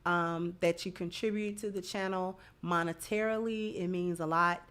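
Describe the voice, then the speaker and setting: A woman's voice: on the low side, steady tone, normal speed, fairly bright tone, clean tone. A woman hosting a livestream, chatting casually and giving commentary to her supporters. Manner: effusive in thanking supporters. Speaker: livestream host.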